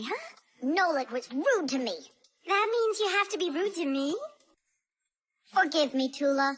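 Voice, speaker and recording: A woman voicing an excitable little childlike character in a children's cartoon dub. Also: extremely high pitch, a little hiss or hum, full character voice. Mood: fussing, huffy.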